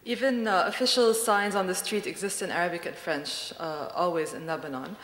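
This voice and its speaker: Female co-founder at a conference; thoughtful, halting delivery, roomy echo with long reverb. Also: fairly low pitch, fairly even intonation, fairly bright tone. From Beirut. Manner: thoughtful, hesitant with many fillers. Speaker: design studio co-founder. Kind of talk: conference presentation. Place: large conference hall. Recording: echoey hall with faint ambience.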